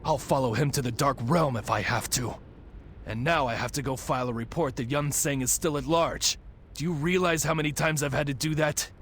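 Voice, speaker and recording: An actor playing a blunt, wry officer in a game cutscene. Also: male voice, medium pitch, a little fast, fairly bright tone, slight background noise, lightly acted. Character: gruff wry male officer.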